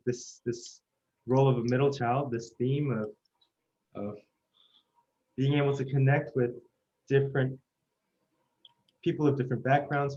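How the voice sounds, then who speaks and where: A man in his thirties, originally from South Korea, recounts his life in an oral history interview. His mid-pitched voice is earnest and steady, and the recording sounds dull and lo-fi. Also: slight background noise. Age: 30s. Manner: earnest, measured storytelling. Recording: muffled lo-fi recording.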